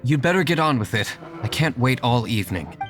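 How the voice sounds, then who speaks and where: A man acts out an aloof young nobleman from a fantasy video game. He is formal and reserved, brooding yet measured, at a medium pitch, with music clearly behind him. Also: lively intonation, lightly acted. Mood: coolly polite. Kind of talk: dramatic video game voice acting.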